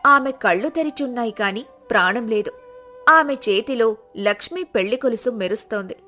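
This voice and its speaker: A woman's voice: on the high side, expressive, normal speed, bright, forceful tone. A woman narrating a scripted moral story as a storyteller.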